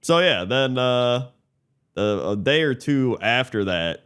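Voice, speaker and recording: A man's voice: mid-range voice, expressive, slow pace, fairly bright tone, smooth. A man co-hosting a podcast, congested from a cold, telling a rambling story in casual chat with plenty of fillers. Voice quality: Muppet-like voice. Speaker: podcast co-host.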